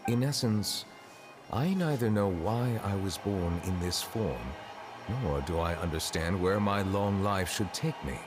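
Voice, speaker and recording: Grave immortal dragon judge: very low, formal, measured, music behind. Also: male voice, lively intonation. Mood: pensive. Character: ancient dragon in human form, solemn male chief justice. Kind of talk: video game dialogue. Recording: game dialogue with music behind.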